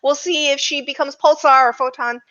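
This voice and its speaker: A woman's voice: sits high, steady tone, fairly quick, pushed and bright, lo-fi sound. A woman chatting casually and emphatically as a panelist in a film discussion. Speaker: podcast panelist.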